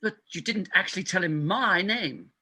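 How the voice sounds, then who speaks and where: A man's voice: high voice, moderate pace, sharp tone, breathy edge, full character voice. A man playing an exasperated, self-important hospital doctor, indignant and emphatic, in a comic stage farce reading. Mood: indignant, alarmed. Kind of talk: comic farce readers theatre.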